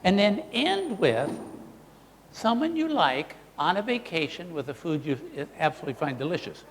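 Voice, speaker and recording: A man's voice: sits high, moderate pace, in a large hall. A man presenting a live workshop, teaching the audience and acting out voice examples himself. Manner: animated, demonstrative, models voices for the audience.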